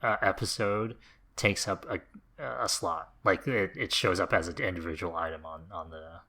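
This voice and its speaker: Deep, bright-voiced man chatting. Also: fairly even intonation, moderate pace. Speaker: podcast co-host. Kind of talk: casual chat.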